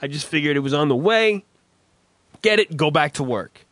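A man hosting a crude comedy podcast, raspy from a recent cough, cursing and rambling through stories. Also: on the high side, expressive, normal speed, a bit bright, no room sound. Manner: profane, rambling storyteller. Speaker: podcast host.

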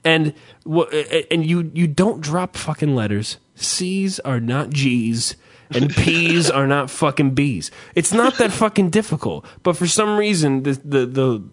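A man's voice: a little high, expressive, unhurried. A man chatting casually, swearing freely and ranting, his voice climbing when he gets worked up. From New Jersey. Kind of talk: casual podcast chat.

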